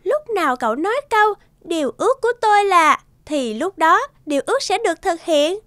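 A woman voicing a tiny cheerful girl fairy in an animated cartoon, childlike, exuberant and a little wistful. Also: extremely high pitch, a little fast, dry recording, studio quality. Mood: friendly, earnest.

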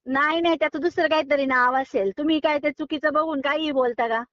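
A woman's voice: sits high, fast, a bit bright, no echo. A woman in an unscripted phone conversation, arguing firmly and sounding exasperated. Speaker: online recipe video creator receiving a prank call. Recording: dull, lo-fi phone call audio.

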